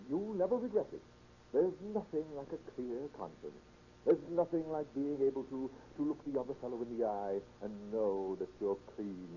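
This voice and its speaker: An actor playing a pompous, moralizing reform school superintendent in a 1930s radio drama, preachy and long-winded. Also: male voice, mid-range voice, warm tone, natural voice. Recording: dull, noisy 1930s radio recording.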